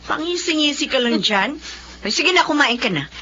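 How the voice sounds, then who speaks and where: A bright, high voice an anxious, scolding Filipino mother in a radio drama. She nags and exclaims in big swoops, on a muffled recording with some background noise. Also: expressive, normal speed, heavily acted. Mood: nagging, teasing. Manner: nagging, exclamatory. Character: fussy, scolding middle-aged mother, anxious for her family. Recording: dull lo-fi audio with background noise.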